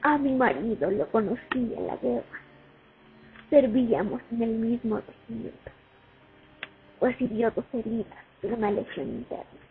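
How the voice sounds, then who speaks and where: A woman playing a war veteran who recalls her years at the front in an expressive radio play monologue. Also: on the high side, measured pace, pauses often. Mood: reminiscing. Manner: reminiscing, expressive, dramatic. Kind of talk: radio play monologue, first-person testimony. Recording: tinny phone-like sound over music. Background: music.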